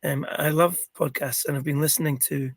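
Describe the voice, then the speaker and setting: A middle-aged man with a croaky, gravelly voice, chatting as an interview guest and rambling with many ums and restarts. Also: a little high, fairly even intonation, brisk, no echo, clean studio sound. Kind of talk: casual interview chat.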